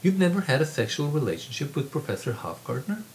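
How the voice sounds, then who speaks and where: A man voicing a calm, firm male professor who questions a student in a reading of his novel. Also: fairly high pitch, slight background noise, pitched above the performer's own voice. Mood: firm coaxing. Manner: measured, firm, coaxing.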